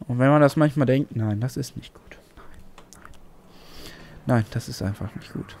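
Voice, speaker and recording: A man giving let's play commentary on a flight game, excitable, swearing often and talking to himself. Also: medium pitch, moderate pace, vocal fry. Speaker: gaming channel host. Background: game music.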